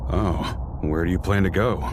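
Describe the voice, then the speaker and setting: A gruff but easygoing male comrade from a video game. His voice is deep and his phrasing short and informal. There is clear background noise under the speech. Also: measured pace. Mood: surprised. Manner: laconic, informal.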